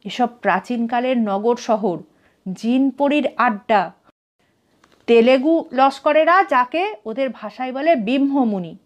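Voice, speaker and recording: High-voiced Bengali woman narrating a story. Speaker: storyteller reading a literary adventure story. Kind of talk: scripted dramatic narration of a story.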